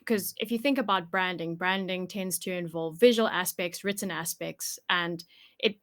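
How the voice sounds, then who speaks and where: A woman's voice: mid-range voice, fairly bright tone. A woman working as a podcast producer, answering interview questions in a thoughtful, explanatory way.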